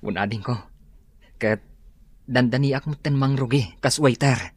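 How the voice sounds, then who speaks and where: Easygoing young ilocano kuya, medium pitch, working class, radio drama. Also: male voice, unhurried, slightly rough, no echo. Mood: relieved. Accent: Filipino, Ilocano. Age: young adult.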